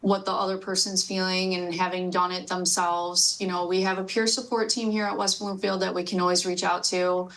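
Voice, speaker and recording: A woman's voice: lowish voice, flat delivery, brisk, few pauses, a bit bright, poor audio. An American woman who works as a police dispatcher, explaining things as she answers interview questions.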